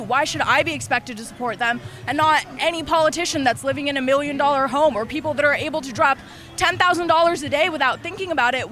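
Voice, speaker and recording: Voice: high voice, pushed and bright. A young adult arguing back defiantly in a heated street interview, swearing now and then, outdoors on a city street.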